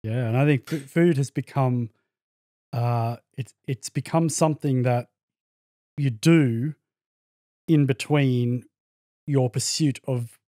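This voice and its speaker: An Australian man speaks as a podcast guest, thinking aloud and searching for his words as he tells his story. His voice is mellow and relaxed. The recording is close and dry, with no room sound. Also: mid-range voice, takes it slowly, long pauses, dry recording. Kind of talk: casual conversation.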